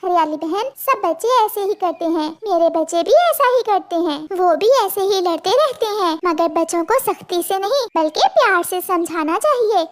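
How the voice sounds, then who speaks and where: A woman voicing a caring mother bird who is gentle but firm, in a children's cartoon story. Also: very high voice, lively intonation, normal speed, hardly any pauses. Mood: gently firm. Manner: motherly, admonishing.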